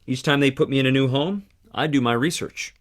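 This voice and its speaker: A man's voice: medium pitch, a little fast, natural voice. A man playing a wry, guarded young theatre worker in an adventure game, speaking conversationally. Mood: guarded, reflective. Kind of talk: scripted adventure game dialogue.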